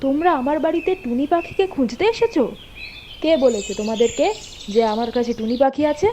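A woman voices a fiery, protective homemaker in a doll story. The voice is high but mellow, delivered with theatrical emphasis. Light noise and birdsong run underneath. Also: expressive, fairly quick. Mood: outraged scolding. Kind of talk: doll-story drama dialogue.

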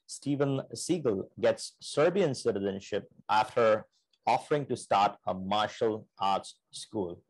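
A man's voice: lowish voice, a little mellow, no room sound, poor audio. A man in his thirties hosting an explainer video, speaking conversationally and sometimes repeating words as he thinks. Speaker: channel host, investment migration advisor. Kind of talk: explainer talking to camera. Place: indoors, virtual living-room background.

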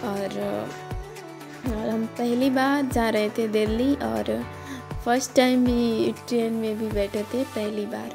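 A woman's voice: fairly high pitch, fairly even intonation, takes it slowly, vocal fry. A young woman, narrating her trip in a casual, rambling voice-over and often restarting her sentences. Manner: rambling, many "matlab" restarts. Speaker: vlogger. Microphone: phone. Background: background music.

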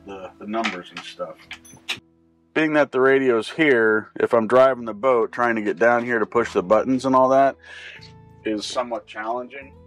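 Bright-voiced older man explaining casually. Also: medium pitch, low-quality recording. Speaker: boating video host. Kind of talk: casual explanatory vlog talk. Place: small boat cabin.

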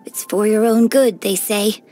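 A woman voicing a graceful, gentle and cheerful young female opera singer in a game, with polite, elegant diction. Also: medium pitch, steady tone, unhurried, natural voice. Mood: defiant. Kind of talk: scripted game character voice lines. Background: background music.

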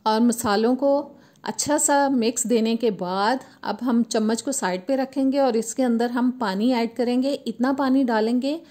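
A woman's voice: on the high side, measured pace. Woman narrating a home recipe. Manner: steady step-by-step instructional tone. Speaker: cooking channel host.

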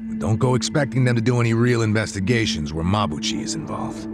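A man acting a weary middle-aged ex-detective in a game drama. His voice sits very low and he explains things with dry, knowing matter-of-factness, with loud music underneath. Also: expressive, fast talker, lightly acted. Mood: wry. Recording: music playing loudly behind.

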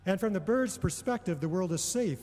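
A middle-aged man delivering a prepared homily in a measured, deliberate way, pausing often between phrases. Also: high-pitched, expressive, a little mellow. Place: large indoor church or hall. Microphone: gooseneck microphone on a lectern. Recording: long reverberation of a large hall.

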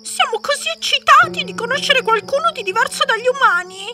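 A woman's voice: very high voice, expressive, a little fast, recorded from a distance, over loud music. A woman playing a sweet-talking, scheming lady explorer in a fairy-tale cartoon, gushing and exaggerated. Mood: gushing, sugary.

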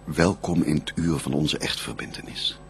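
The first man on earth, a devout bridegroom in a radio verse drama. His very low, creaky voice declaims formally and reverently, with music behind it. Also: fairly even intonation, normal speed. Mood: grateful, devout.

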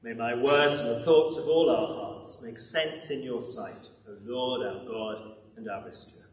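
A man's voice: medium pitch, measured pace. Older male preacher, echoey church. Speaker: minister preaching. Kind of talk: sermon with dramatic storytelling. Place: church, at a lectern. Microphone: lapel microphone. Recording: echoey church, distant sound.

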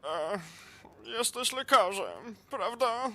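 A man's voice: very high-pitched, very animated, takes it slowly, exaggerated voice. A man voicing crude, bossy anime fighters in a theatrical, profane parody cartoon fandub. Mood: pushy. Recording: loud music behind the voice.

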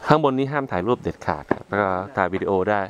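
A man's voice: lowish voice, animated, very fast, noisy background. Easygoing man vlogging outdoors. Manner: chatty, easygoing, narrates as he walks. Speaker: travel vlogger. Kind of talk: casual travel vlog. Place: outdoors at a cave entrance on a cliff. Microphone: handheld camera mic. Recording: music playing under the voice.

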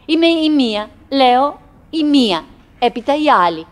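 A wistful, high-voiced lonely wife. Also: female voice, very animated. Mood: musing, melancholy. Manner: fragmented, repetitive musing with pauses and self-address. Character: Winnie, a chattering, wistful, lonely wife buried in earth. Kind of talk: theatrical radio play acting, monologue.

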